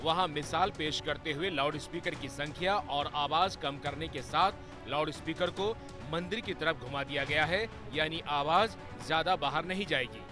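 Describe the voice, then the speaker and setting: A man's voice: on the high side, moderate pace, hardly any pauses. Male news narrator over prominent music. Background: prominent music bed.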